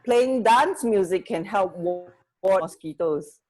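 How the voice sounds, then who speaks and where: A woman's voice: mid-range voice, animated, slow pace, darkish tone. A woman co-hosting a radio show in casual, hesitant, giggly chat. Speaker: radio co-host.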